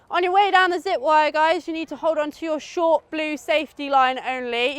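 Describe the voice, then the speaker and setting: High-pitched British woman giving a safety briefing. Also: fairly bright tone, dry recording. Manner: informal and instructive, frequent checking tags. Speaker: outdoor adventure course safety instructor. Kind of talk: spoken safety briefing to a group.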